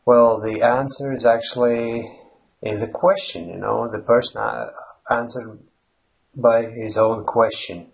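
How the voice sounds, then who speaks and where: A man's voice: medium pitch, steady tone, unhurried, gravelly. A man from Latvia with a Latvian accent, hosting a language-learning channel and explaining at length in a rambling way with fillers and restarts. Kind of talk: unscripted explanatory monologue. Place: Ireland.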